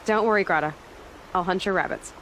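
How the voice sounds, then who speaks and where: A woman's voice: lowish voice, a little fast, fairly bright tone, a little hiss or hum, poor audio. An actress playing a young, headstrong but caring female hunter in a video game, direct and questioning with a slightly wry manner. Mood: wry, resolved. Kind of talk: naturalistic video game acting. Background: music.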